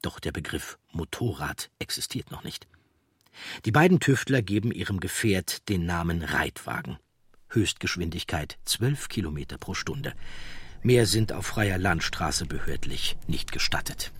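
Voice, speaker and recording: Husky, low-pitched male documentary narrator. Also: expressive, unhurried, no room sound, studio quality.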